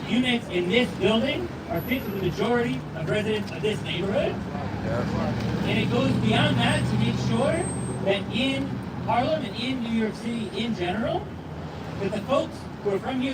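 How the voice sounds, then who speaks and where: A faith speaker giving an earnest, measured speech at an outdoor rally. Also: mid-range voice, lively intonation. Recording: distant mic with loud street noise.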